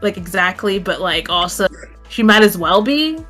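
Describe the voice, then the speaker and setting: A woman's voice: mid-range voice, animated, normal speed, a bit bright, faint music behind. A woman co-hosting a podcast, chatting casually and enthusiastically as a fan in a group. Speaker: podcast co-host. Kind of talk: casual group chat about an animated series.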